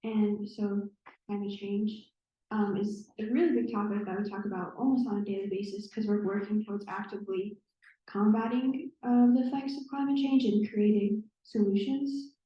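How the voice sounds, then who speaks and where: A young woman, a college student on a panel, explaining things clearly. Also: mid-range voice, fairly even intonation, normal speed, warm tone. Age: young adult. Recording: echoey room, dull sound.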